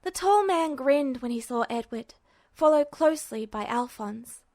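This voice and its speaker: A woman reading a fan fiction story aloud with steady narration. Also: sits high, unhurried, no room sound, studio quality. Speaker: podfic reader.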